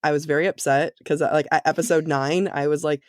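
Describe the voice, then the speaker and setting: A woman co-hosting a podcast in a casual, chatty way, excitable and giggly, often acting out her own reactions with plenty of "like". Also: low voice, quick pace, no room sound, clean studio sound. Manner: informal, peppered with "like". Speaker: podcast co-host. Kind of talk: casual, chatty book discussion.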